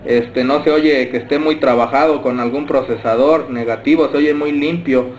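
A Mexican man giving signal reports as a radio operator, courteous and halting as he speaks indoors. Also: medium pitch, steady tone, darkish tone. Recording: roomy camera pickup with faint noise.

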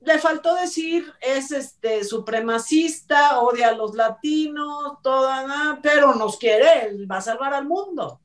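High-voiced Mexican woman host, distant mic. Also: measured pace, hardly any pauses. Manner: chatty, emphatic, sarcastic. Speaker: talk show host. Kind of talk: informal opinion commentary on entertainment news. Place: indoor room, video call.

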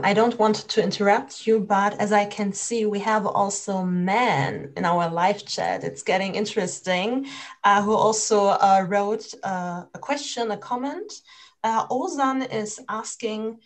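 A young woman moderating an online panel discussion on a video call, pausing often with fillers. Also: mid-range voice. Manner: hesitant, with frequent fillers. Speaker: moderator of a lecture series.

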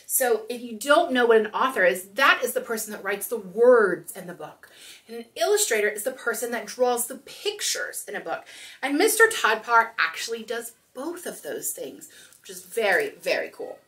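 A woman's voice: fairly high pitch, big pitch swings, normal speed, a bit bright, recorded from a distance. A woman in her thirties hosting storytime, talking and reading aloud expressively to young children. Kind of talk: children's storytime talk and picture-book read-aloud. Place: small room indoors.

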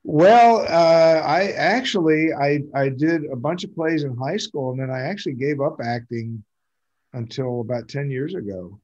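An older American man chatting casually and self-deprecatingly on a video call, halting and rambling as he goes. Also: on the high side, a little mellow, muffled recording. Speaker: community theater actor. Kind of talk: casual chat at an online talkback. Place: indoors at home on a video call. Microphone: video call microphone.

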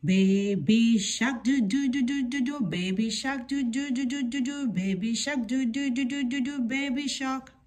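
A woman's voice: on the high side, measured pace, few pauses, darkish tone. A woman reading a children's picture book aloud, breaking into sing-song refrains. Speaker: read-aloud story presenter.

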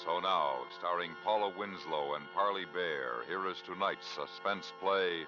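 A man's voice: low-pitched, a little slow. An American man announcing a 1950s radio drama in a formal, dramatic manner. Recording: dull 1950s radio recording, music behind. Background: music.

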